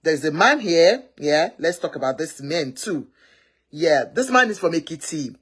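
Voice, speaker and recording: Woman hosting a husky, chatty matchmaking stream. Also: sits low, big pitch swings, a little slow. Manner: rambling, often corrects herself mid-sentence. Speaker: host of a live matchmaking stream. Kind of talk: casual live-stream chat.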